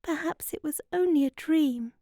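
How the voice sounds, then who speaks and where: A woman's voice: high-pitched, normal speed, mellow, no room sound, studio quality, pitched above the performer's own voice, lightly acted. A woman voicing a dreamy but sensible young girl in a children's storybook, earnest and questioning. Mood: curious.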